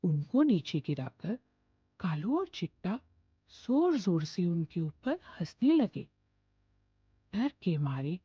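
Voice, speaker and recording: A woman narrates a children's cartoon story slowly, with a lively rise and fall. Her voice is low and husky. The recording is dry and slightly dull. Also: no echo.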